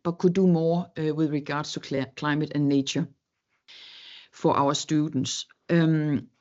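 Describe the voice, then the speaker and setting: A woman's voice: deep voice, fairly even intonation, unhurried, darkish tone, highs cut off. A woman from Denmark, speaking as a panelist in an academic webinar in an earnest, reflective way, searching for words.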